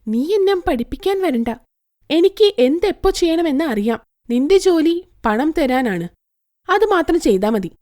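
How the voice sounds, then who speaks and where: A woman voicing an arrogant, spoiled young woman in an animated story who talks in a curt and snappy way. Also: high voice, unhurried. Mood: dismissive.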